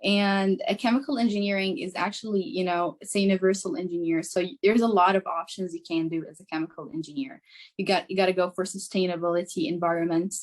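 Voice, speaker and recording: A woman's voice: on the low side, steady tone, fast talker. A young woman with Arabic as her first language, from Syria, speaking as a guest engineer on a video call in an earnest, eager way. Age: young adult. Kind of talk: casual conversational answer.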